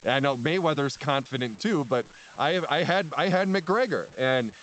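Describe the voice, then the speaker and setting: A man's voice: a little high, expressive, moderate pace, fairly bright tone, low-quality recording. A man, a spiritual teacher talking as a podcast guest in a rambling way, with many restarts and frequent laughs. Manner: rambling, repeats words, laughs often. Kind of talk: casual podcast conversation.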